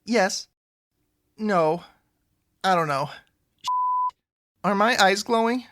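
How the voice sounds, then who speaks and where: An actor playing a troubled, awkward, wry man with supernatural troubles in an audio drama, his voice creaky. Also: high-pitched, animated, extremely slow, sharp tone, exaggerated voice. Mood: uneasy.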